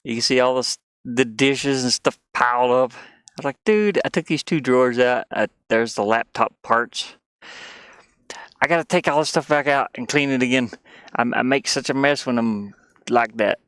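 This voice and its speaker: A man's voice: mid-range voice, moderate pace, a bit bright, clean tone, dry recording. A man live streaming with casual, rambling chat in a scattered, self-deprecating manner. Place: inside a small cluttered van.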